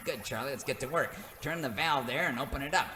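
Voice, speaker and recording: A man's voice: mid-range voice, brisk, close to an everyday voice. A man playing a gleeful, sneering villain boss in a melodramatic radio comedy, chuckling and impatient. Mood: impatient scheming. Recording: echoey, with loud background noise.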